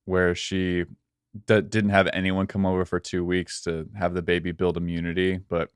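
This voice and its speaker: A man's voice: low-pitched, completely flat delivery, moderate pace, smooth, no room sound, studio quality. A man who produces a podcast chats casually, in an easygoing way with lots of fillers. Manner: easygoing, filler-heavy talk. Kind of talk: casual chat.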